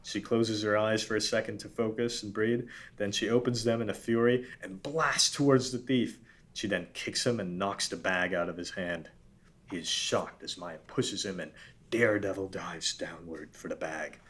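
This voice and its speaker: A man's voice: fairly low pitch, normal speed, fairly bright tone, slightly rough. A man narrating a script, reading out scene headings and stage directions. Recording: background room noise.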